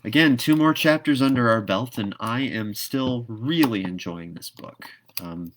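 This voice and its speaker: A man's voice: medium pitch, measured pace. A man hosting a read-aloud, moving between storybook narration and casual, thoughtful commentary.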